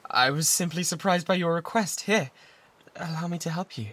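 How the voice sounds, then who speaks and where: A man's voice: fairly high pitch, normal speed, close to an everyday voice. A British man playing an obsessive, lovesick pirate in a romantic ASMR roleplay, pleading fervently and breathily, close to a binaural microphone. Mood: flustered, surprised. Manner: fervent, breathy pleading that often drops toward whispers. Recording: noticeable background noise and ambience.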